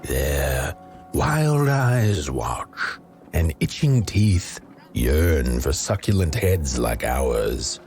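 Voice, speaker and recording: Grim fairy-tale narrator: bottomless bass, slow and theatrical, over music. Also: male voice, big pitch swings, darkish tone, exaggerated voice. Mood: ominous. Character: ominous storyteller of grim fairy tales. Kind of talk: scripted dark fantasy storybook narration.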